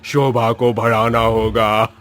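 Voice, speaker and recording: A man voicing a menacing severed-headed male ghost in a horror story, with a drawn-out, threatening delivery. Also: mid-range voice, natural voice. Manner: slow, drawn-out menacing delivery with evil cackles. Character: menacing severed-headed ghost, growling villain of a haunted maze. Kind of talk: dramatised Hindi horror story acting. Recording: music under the voice.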